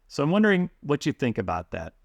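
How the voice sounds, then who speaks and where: A man hosting an interview, speaking in a relaxed way and thinking aloud with fillers. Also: on the high side, fast, creaky, no room sound, studio quality. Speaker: podcast host. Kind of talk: conversational interview.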